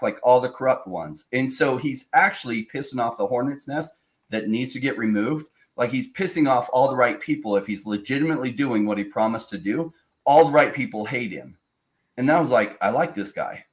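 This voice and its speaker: A man on a casual podcast panel who talks emphatically and lays out long, opinionated arguments. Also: medium pitch.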